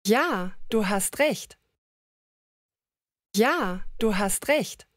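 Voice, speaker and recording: A woman reading lines of a slow scripted conversation for language learners, repeating each sentence. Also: medium pitch, lively intonation, no echo, studio quality. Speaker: language lesson voice.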